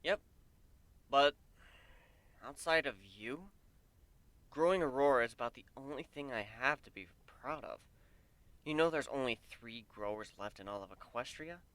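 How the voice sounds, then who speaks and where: A man's voice: medium pitch, measured pace, long pauses, fairly bright tone, no echo, close to an everyday voice. A man voicing a male pegasus pony in a story reading, plainspoken, folksy, wry and earnest.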